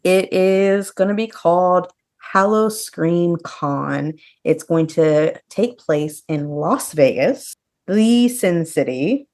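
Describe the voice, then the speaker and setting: Casual woman podcaster, slightly low voice. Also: animated, slow pace, a little mellow. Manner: conversational, peppered with "like". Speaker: podcast co-host. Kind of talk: casual chat about horror news.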